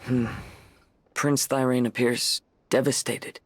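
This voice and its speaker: A man's voice: medium pitch, level tone, takes it slowly. An actor playing a restrained male character in a fantasy video game. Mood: thoughtful.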